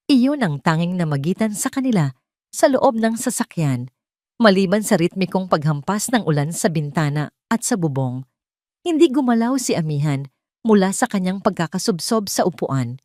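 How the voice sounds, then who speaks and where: Low-voiced Filipino woman narrating drama. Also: darkish tone, dry recording, clean studio sound. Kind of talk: dramatic scripted story narration.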